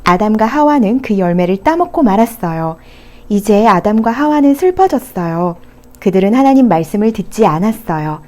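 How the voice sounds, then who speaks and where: Mellow woman telling children's stories. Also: mid-range voice, expressive, normal speed. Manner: gentle, explanatory, addressing small children. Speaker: storyteller. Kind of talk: scripted children's Bible storytelling.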